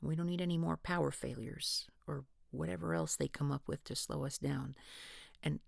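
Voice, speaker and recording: A woman's voice: sits low, fairly even intonation, moderate pace, no room sound, clean studio sound, exaggerated voice. A woman voicing a blunt, foul-mouthed leader of a paranormal group in a scripted audio roleplay. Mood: firm. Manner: gruff, wisecracking, swears often.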